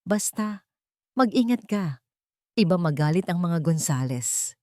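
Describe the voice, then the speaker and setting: A woman's voice: fairly low pitch, lively intonation, measured pace, darkish tone, no room sound, clean studio sound. A woman voicing a kindly elderly housemaid who speaks cautiously and confidingly in an audio drama. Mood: worried warning. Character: wary, kindly elderly housemaid giving hushed warnings.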